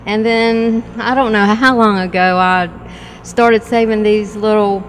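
A woman's voice: mid-range voice, fairly even intonation, poor audio. Woman vendor talking about her crafts, stops and starts a lot, handheld mic outside. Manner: halting, searching for words. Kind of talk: casual chat. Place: outdoor patio at a train station shop. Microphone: handheld mic held by host.

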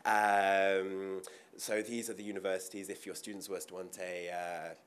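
A young man giving a conference presentation at a podium, informal, with frequent ums and quick asides. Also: deep voice, little pitch movement, a little hiss or hum. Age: young adult. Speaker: university international officer. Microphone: podium mic.

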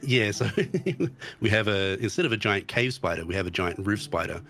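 Low-voiced man talking through a game, slightly thick. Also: moderate pace, bright, forceful tone, faint music behind. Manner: hesitant, many restarts and ums. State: recovering from tonsillitis. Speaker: guest streamer. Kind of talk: casual chat about a game.

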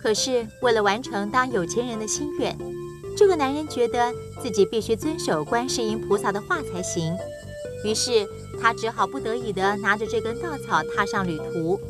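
A woman's voice: fairly high pitch, moderate pace. A woman reading scripted narration for a children's storybook tale. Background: music.

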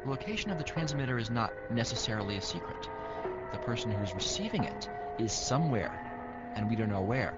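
A man's voice: mid-range voice, lively intonation, a little fast. A man explaining as an expert interviewee, in a muffled recording. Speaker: interviewee, cryptographer. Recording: muffled audio under loud music.

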